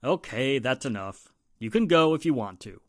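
A man's voice: mid-range voice, animated, moderate pace, no room sound, studio quality, close to an everyday voice. A man playing a stern, authoritative police inspector in a 1940s-style radio drama. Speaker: actor in an amateur radio drama re-creation.

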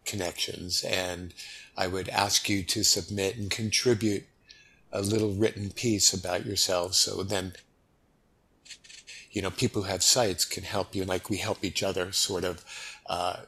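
A man's voice: low voice, unhurried, slightly rough. A middle-aged American man chatting easily as a guest in a casual interview. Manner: easygoing, relaxed, chatty. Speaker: podcast guest.

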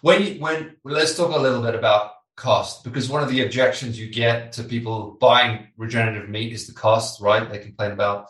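A man's voice: mid-range voice, steady tone, a little fast, few pauses, a bit bright. A British man hosting an interview, casual and chatty with lots of fillers. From Britain. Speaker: podcast host. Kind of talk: conversational interview. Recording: roomy, slightly distant mic.

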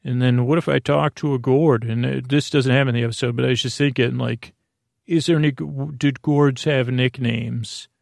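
Gravelly, meandering man, even-toned. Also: mid-range voice, no echo, studio quality. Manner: hesitant, meandering, halting, trailing off. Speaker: podcast host. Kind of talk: rambling bedtime podcast monologue.

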